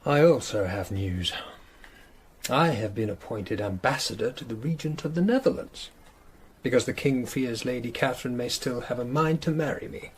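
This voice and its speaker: A man's voice: medium pitch, normal speed, a little hiss or hum, lightly acted. An actor playing a brash, indiscreet and scornful Tudor nobleman in a radio drama. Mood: scornful, boastful.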